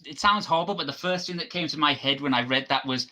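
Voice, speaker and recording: A man's voice: on the high side, quick pace, sharp tone. A man on a podcast panel reading news items aloud with casual, exasperated asides.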